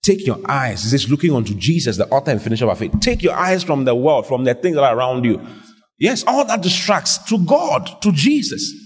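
A man preaching a lively sermon to a congregation, calling out questions and drawing answers back from them. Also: medium pitch, brisk. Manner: animated, call-and-response with the congregation. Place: church hall. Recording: husky voice in a reverberant hall.

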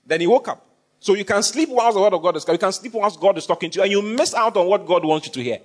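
A man's voice: high-pitched, expressive, quick pace. A man preaching a sermon, repeating phrases for emphasis and questioning his congregation. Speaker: pastor.

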